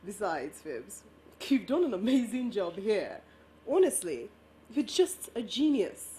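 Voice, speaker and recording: A woman's voice: mid-range voice, very animated, a little slow, dark tone, a little hiss or hum. An actress playing a busy, friendly office woman chatting with a male colleague in a stage play. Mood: admiring.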